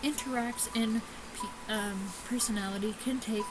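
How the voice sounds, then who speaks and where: A woman hosting a casual let's play, reading game text aloud in a halting way with small stumbles. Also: a little high, fairly even intonation, over music. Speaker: gaming video host. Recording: clearly noisy home recording.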